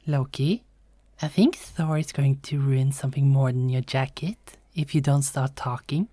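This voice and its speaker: A woman acting in a fantasy audio roleplay as an expressive goddess, sensible and playfully bossy. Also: a bass voice, unhurried, no echo. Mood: incredulous.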